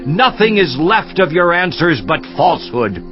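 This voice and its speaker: An anguished man of ancient scripture acted out by a male performer argues in a fairly high voice, impassioned and theatrical, with sweeping intonation. The recording is somewhat dull. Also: big pitch swings, unhurried. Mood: accusing, defiant. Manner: impassioned, declamatory, rhetorical questioning. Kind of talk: dramatized scripture reading, theatrical monologue. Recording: dull, muffled audio with music behind.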